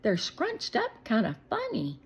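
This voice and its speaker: A woman's voice: on the high side, huge pitch swings, unhurried, husky, pitched above the performer's own voice, full character voice. A woman voicing a curious, friendly young neighbor girl in a storybook, inquisitive and chirpy.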